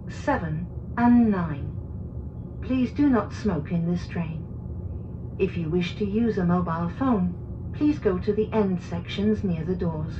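Slow, muffled female train announcer. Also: mid-range voice. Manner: formal, measured, carefully enunciated. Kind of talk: recorded scripted English onboard announcement. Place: played over speakers inside a train car. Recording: muffled train PA, clear cabin noise.

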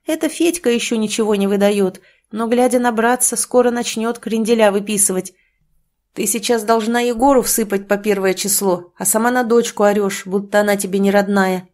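A woman voicing a blunt, gruff village stepfather. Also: mid-range voice, a little mellow, close to an everyday voice. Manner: blunt, scolding.

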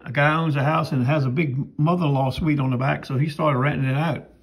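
A man's voice: fairly high pitch, fairly even intonation, brisk. An older man narrating a casual home vlog, rambling and folksy, filming with a phone around his house and yard. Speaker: knife sharpener vlogger.

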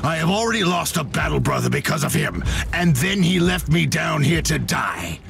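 An actor playing a wounded, furious, zealous armoured warrior in a video game, declaiming and shouting bitterly. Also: male voice, fairly high pitch, big pitch swings, moderate pace, creaky, soft background music, full character voice. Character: wounded, furious Space Marine battle brother, bitter zealot.

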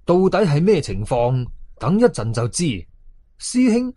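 Animated, husky Hong Kong storyteller man. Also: a little high, measured pace, no echo. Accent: Hong Kong Cantonese. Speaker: audio storyteller. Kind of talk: dramatic horror story narration.

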